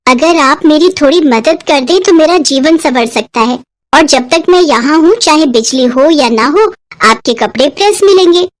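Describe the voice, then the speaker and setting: A woman's voice: sits high, animated, normal speed, no room sound. A woman voicing a poor village schoolgirl in a moral story, polite, earnest and eager. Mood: earnest, respectful.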